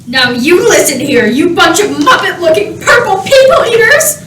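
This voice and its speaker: Blustering official, played by a woman, very high voice, pompous; echoey, noisy radio play recording. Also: sing-song, moderate pace, a bit bright, full character voice. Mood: outraged. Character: indignant, blustering human official confronting aliens. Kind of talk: comedic radio play acting. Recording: roomy, distant, noisy home recording.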